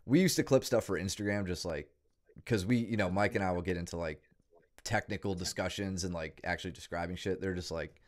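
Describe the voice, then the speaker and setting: Deep-voiced coach hosting a chat. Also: male voice, brisk. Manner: stammering, enthusiastic restarts. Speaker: jiu-jitsu coach, podcast host. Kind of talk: casual interview chat.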